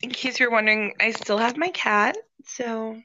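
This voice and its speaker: Bright, playful young woman on a dull line. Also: fairly high pitch, normal speed, slight background noise. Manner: playful, defensive, swears a lot. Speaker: co-host. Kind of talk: casual chat.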